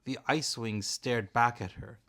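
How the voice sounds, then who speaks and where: A man's voice: medium pitch, fairly even intonation, moderate pace, fairly bright tone. A man reading a bedtime story aloud in the role of a father.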